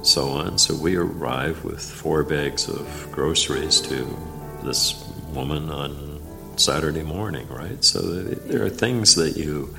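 Very deep, gravelly older man. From Nova Scotia, Canada. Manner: halting, many "uh" fillers and restarts. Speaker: former provincial legislator. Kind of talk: interview storytelling.

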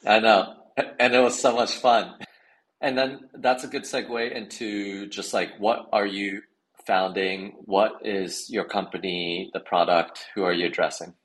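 A man's voice: fairly low pitch, fairly even intonation, measured pace, low-quality recording. A man hosting a podcast, asking curious, loosely worded interview questions. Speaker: podcast host.

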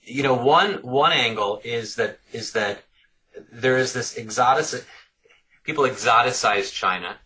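A man's voice: mid-range voice, a little slow, bright, forceful tone. A middle-aged man answering interview questions thoughtfully, often repeating words as he works out his points. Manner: thoughtful, repeats words while working out ideas. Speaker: author guest.

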